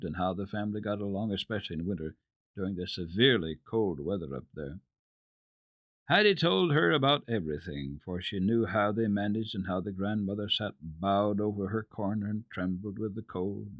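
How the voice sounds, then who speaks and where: A man giving an expressive, deliberate dramatic reading of children's literature as a radio storyteller. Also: low voice, dry recording, phone-quality sound.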